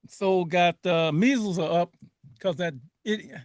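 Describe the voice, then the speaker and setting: A man from Liberia hosting a community radio talk show, telling stories with lots of laughter and animated bursts. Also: sits high, unhurried, no room sound, studio quality. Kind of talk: casual radio chat.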